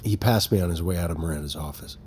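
A man playing a guarded, defiant head of security in an audio drama, terse and controlled, with a raspy, husky voice. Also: sits low, fast, darkish tone.

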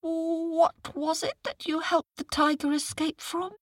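A woman's voice: very high-pitched, fairly even intonation, takes it slowly, dry recording, clean studio sound, pitched above the performer's own voice. A woman voicing a wily male jackal who drawls and acts puzzled. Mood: sly, puzzled. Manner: drawling and yawning, feigning puzzlement.

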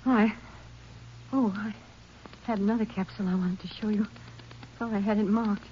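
An actress playing a strained, stubborn American wife who feels hunted, in 1950s radio drama style. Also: female voice, mid-range voice, steady tone, normal speed, warm tone, natural voice. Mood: urgent, vindicated. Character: distraught, insistent wife convinced someone wants her dead. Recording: muffled old radio recording, faint noise.

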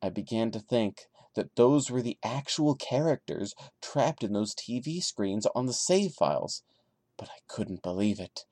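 A man's voice: fairly low pitch, lively intonation, measured pace, a little mellow, no echo, studio quality. A man hosting a podcast, reading a horror story dramatically with sarcastic, mocking asides. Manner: theatrical, mocking. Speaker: podcast host reading and commenting.

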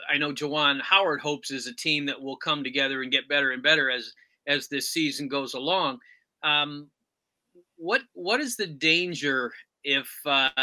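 A man interviewing about sports, phrasing his questions in a halting, deliberate way. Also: fairly high pitch, sharp tone. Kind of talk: sports discussion, asking questions.

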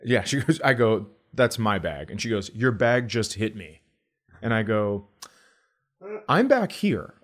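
A middle-aged American man with a fairly low voice tells stories on a comedy podcast. His delivery is casual and sweary, and he slips into acted-out dialogue as he goes. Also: big pitch swings, measured pace. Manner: acts out dialogue, profane. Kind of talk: casual comic storytelling.

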